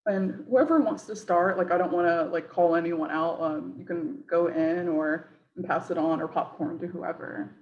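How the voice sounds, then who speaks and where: A woman's voice: fairly low pitch, steady tone, normal speed, hardly any pauses. A woman moderating a panel over a video call, casual and friendly with many fillers. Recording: roomy dull video call audio.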